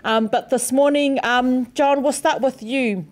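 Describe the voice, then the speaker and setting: A woman's voice: high voice, fairly even intonation, a little slow. A woman co-hosting a podcast, chatty and rambling, with many fillers. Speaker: podcast co-host. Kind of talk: casual podcast chat.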